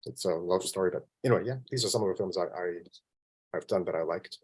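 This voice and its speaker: A man from Vancouver with a Canadian accent, chatting casually as an interview guest in a modest, self-deprecating, halting way. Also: sits low, a little mellow, slightly rough. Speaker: interview guest, film composer.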